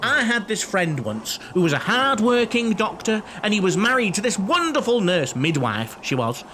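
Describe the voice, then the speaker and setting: A man's voice: sits high, sing-song, moderate pace, fairly bright tone, slight background noise. A man acting in an audio play as a chatty, tactless, cheeky eccentric who is blunt and guileless. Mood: breezy, teasing. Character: chatty, tactless, romance-loving eccentric man named Terry.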